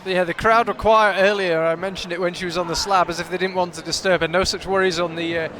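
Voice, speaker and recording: A man's voice: high-pitched, steady tone, fast talker, a little hiss or hum. A man doing live commentary on a climbing competition, chatty and analytical, thinking aloud as the action unfolds in a big hall. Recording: loud crowd in a big hall.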